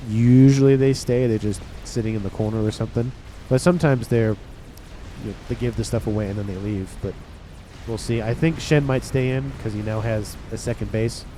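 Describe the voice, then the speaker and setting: Guy with a stuffy nose doing chill game commentary, soft dark voice, faint hiss behind. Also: medium pitch. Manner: relaxed, rambling, talks to viewers. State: congested, nasal from a cold. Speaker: game caster. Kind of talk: casual play-by-play commentary.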